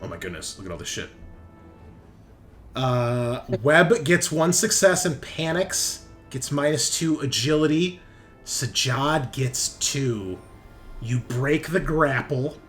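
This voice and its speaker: A man's voice: mid-range voice, expressive, slow, fairly bright tone, soft background music. A man narrating a tabletop roleplaying game as game master, casual and conversational, with some swearing. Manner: informal, profane, conversational.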